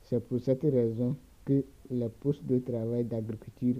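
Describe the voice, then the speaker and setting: A man coaching on the topic of work, reading slowly and measuredly, repeating words and pausing with hesitations. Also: medium pitch, fairly even intonation. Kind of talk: reading a lecture text. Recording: dull lo-fi recording.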